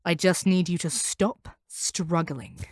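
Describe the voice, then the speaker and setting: A proud female monster hunter, acted by a woman for a fantasy audio roleplay. Her voice sits on the low side, recorded close and dry. She talks down to her listener with stern, deliberate command and cold ruthlessness. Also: darkish tone, no room sound. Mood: commanding, scornful. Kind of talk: scripted audio roleplay acting.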